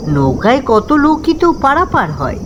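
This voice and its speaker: A woman playing a curious, easily startled villager in a ghost story, questioning rumours in drawn-out disbelief. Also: sits high, sing-song, background noise. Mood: curious, dismissive.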